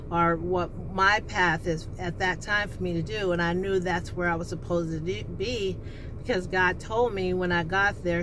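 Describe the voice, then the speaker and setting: A woman with an alto voice, telling a personal story in a rambling, casual way, with many restarts and fillers. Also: on the low side. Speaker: vlogger. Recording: steady background noise with passing vehicle sounds.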